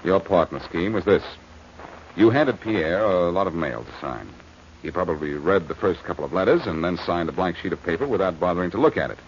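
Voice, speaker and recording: Man acting a deep-voiced, cocky radio detective. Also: fairly quick, natural voice. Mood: smug, explaining. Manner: wisecracking, slangy, emphatic. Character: cocky, quick-witted male amateur detective, teasing and accusing. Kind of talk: 1940s radio crime drama acting. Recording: muffled, hissy old radio recording.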